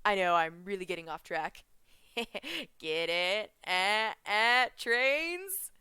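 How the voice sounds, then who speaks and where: A young woman chatting in an excited fan reaction vlog, giggling, making puns and drifting off track. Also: medium pitch, slow, clean tone, no echo, clean studio sound. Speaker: vlogger reviewing a cartoon episode.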